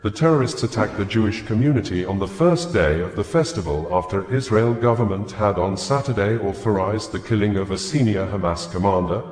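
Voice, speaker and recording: A man's voice: lowish voice, brisk, hardly any pauses, a little mellow. A man reading scripted news in a formal, wordy read-aloud delivery. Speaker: news reader. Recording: echoey, reverberant room.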